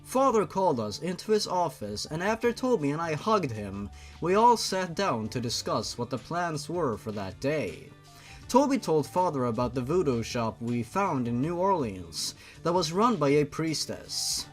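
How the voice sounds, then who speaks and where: Male narrator, fairly high voice, theatrical and dramatic story reading over background music. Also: sing-song, measured pace. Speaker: host and reader of a fan fiction story show. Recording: music behind the voice.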